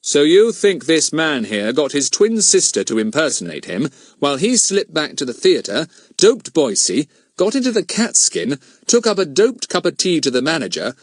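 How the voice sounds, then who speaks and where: A man's voice: on the high side, big pitch swings, normal speed, no echo, voice pushed up. A man voicing an authoritative, composed police inspector in a storybook reading. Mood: cool command.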